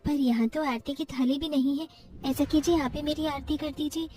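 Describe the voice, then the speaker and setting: A woman's voice: high voice, steady tone, moderate pace, dark tone, distant mic, faint music behind, natural voice. A woman voicing a meek, suffering young wife and devoted mother in a cartoon story, pleading and earnest.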